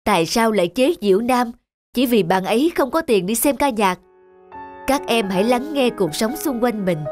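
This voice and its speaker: A woman plays a caring cartoon schoolteacher with a fairly high voice. The delivery is gently reproachful and instructive, with clear guitar music behind. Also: animated, moderate pace, close to an everyday voice. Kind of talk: Vietnamese cartoon dubbing, moral lecturing. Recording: music under the voice.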